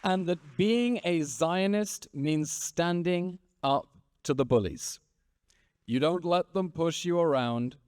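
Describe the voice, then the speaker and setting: A man's voice: fairly high pitch, slow pace, darkish tone, clean tone, no echo. A man in his thirties moderating a conference panel on stage, with rousing, repetitive rhetorical phrasing. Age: 30s.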